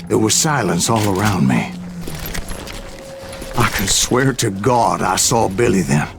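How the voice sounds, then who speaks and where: A man's voice: on the low side, expressive, unhurried, vocal fry, background noise. An actor playing a stubborn, haunted old gunslinger who narrates his tales theatrically in a western game. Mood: haunted, dramatic. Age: older. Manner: theatrical frontier storyteller. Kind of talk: dramatic storytelling narration in a western video game.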